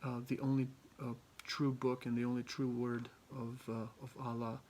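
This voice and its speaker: A young man from Albania giving a class presentation, halting often with ums and restarts. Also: medium pitch, level tone, a little mellow, a little hiss or hum. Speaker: student presenter.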